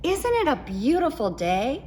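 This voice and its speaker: A woman's voice: sits high, wildly swooping pitch, unhurried, a little hiss or hum, voice pushed up, exaggerated voice. A woman voicing a cheerful, kind female tumbleweed in a storybook, calling out in a friendly way. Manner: calling out brightly.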